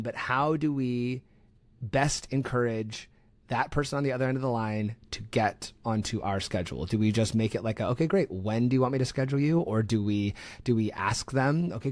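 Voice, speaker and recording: A man's voice: medium pitch, no room sound. A man hosting a podcast in an informal, slangy way, rephrasing his thoughts as he goes. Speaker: podcast host. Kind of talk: casual interview chat.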